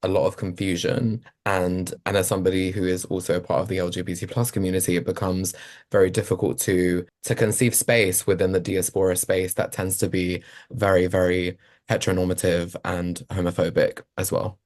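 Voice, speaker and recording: A man speaks eagerly and fluently as a podcast guest, using "like" often. His voice sits deep and low. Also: little pitch movement, fairly quick, a little mellow. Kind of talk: animated interview answers.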